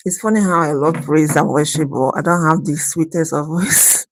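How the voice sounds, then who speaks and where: A woman's voice: low voice, normal speed. A woman hosting a phone livestream and chatting casually and earnestly, repeating herself between worship songs. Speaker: livestream host.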